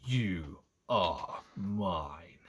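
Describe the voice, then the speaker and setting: A man voicing a brooding, jealous and possessive male lover from a romance novel, in an intense, halting delivery. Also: lowish voice, slight background noise, lightly acted. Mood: snarling, possessive.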